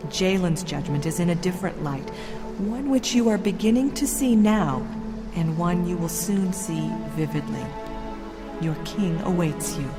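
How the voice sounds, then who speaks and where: A woman playing a serene, solemn royal ruler of a heavenly city in an audio drama, speaking in a measured, formal, instructive way. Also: lowish voice, lively intonation. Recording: long hall reverb with music behind.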